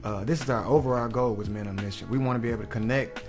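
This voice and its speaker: A man speaking as a guest on a radio interview. He leads a mentoring group and explains its goals in a measured way, with many fillers and restarts. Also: medium pitch, music in the background.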